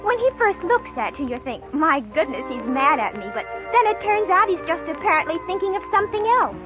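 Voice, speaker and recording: Coy teenage girl, very animated. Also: very high-pitched, fairly quick, over music. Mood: chatty. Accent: American. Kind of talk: 1940s radio acting. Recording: muffled vintage radio broadcast.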